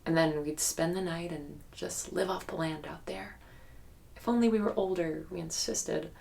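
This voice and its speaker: A woman's voice: lowish voice, vocal fry, a little mellow. An actress playing a guarded young woman in an audio drama, recounting a haunted childhood memory in a hesitant, reflective, documentary-interview way. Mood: wistful, guarded. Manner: hesitant, reflective storytelling with pauses.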